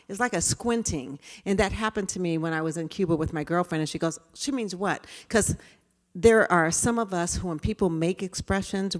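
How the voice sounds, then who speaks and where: Quiet-voiced woman in her 50s, lowish pitch, chatty storytelling workshop talk. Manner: storytelling, conversational. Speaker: diversity trainer.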